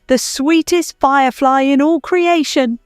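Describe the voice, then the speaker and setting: A woman voicing a lovestruck, soft-hearted male firefly in a storybook, with a gushing, folksy manner. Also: sits high, fairly even intonation, unhurried, dry recording, clean studio sound, voice pushed up. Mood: adoring, excited.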